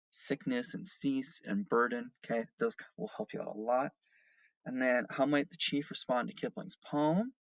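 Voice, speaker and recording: A man's voice: mid-range voice, dry recording, low-quality recording. A man teaching seventh grade remotely, giving step-by-step instructions and explanations and often checking in with okay and all right. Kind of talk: remote lesson instructions and read-aloud.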